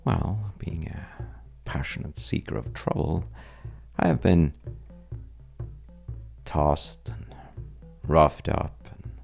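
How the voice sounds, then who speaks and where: A man playing a wry, roguish, well-dressed stranger at a bar in an intimate audio roleplay, teasing and telling stories with dramatic pauses in a gravelly voice. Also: very deep voice, a little mellow, soft background music, full character voice. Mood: droll storytelling. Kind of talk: intimate audio roleplay monologue addressed to the listener.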